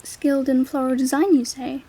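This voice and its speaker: Female actor as gracious royal hostess: very high, soft-toned and mellow, refined, affectionate delivery. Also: little pitch movement, normal speed, slightly rough. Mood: delighted, brisk. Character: gracious, eager queen who loves hosting. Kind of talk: scripted romantic audio drama acting.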